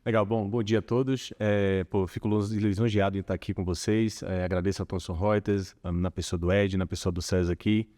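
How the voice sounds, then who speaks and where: Low-voiced Bahian man, relaxed. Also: a little fast, hardly any pauses. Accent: Bahian Portuguese. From Salvador, Bahia, Brazil. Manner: relaxed, chatty, frequent filler tags. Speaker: corporate tax director, guest. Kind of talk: casual interview conversation.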